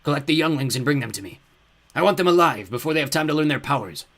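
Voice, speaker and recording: Storybook villain: commanding male fire demon, booming, imperious, menacing, acted by a male reader. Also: expressive, quick pace, fairly bright tone. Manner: grandiose, imperious.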